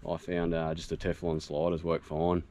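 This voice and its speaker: A man in his thirties with an Australian accent, casually walking through a homemade build outdoors with relaxed, practical talk. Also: deep voice, moderate pace. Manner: relaxed, practical, with frequent fillers. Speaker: vlogger. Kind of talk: casual how-to walkthrough. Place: outdoors in a grassy bush camp. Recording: outdoor noise behind the voice.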